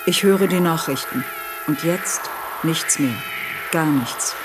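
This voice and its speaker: An actress playing an imperious, composed woman in an audio drama, speaking in a deliberate, terse way. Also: sits low, over music. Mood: tense, inward. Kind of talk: scripted audio drama acting.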